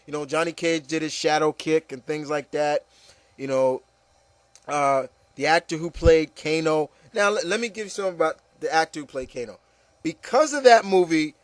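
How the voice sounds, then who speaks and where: A man's voice: on the high side, dry recording. A man giving casual, rambling opinion commentary, repeating phrases and addressing listeners emphatically. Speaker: video commentator.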